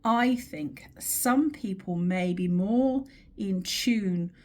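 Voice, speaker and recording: A woman teaching in an informal, explanatory way, with measured, emphatic phrasing and pauses as she talks through a drawing. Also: mid-range voice, a little hiss or hum. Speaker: teacher of earth energy dowsing.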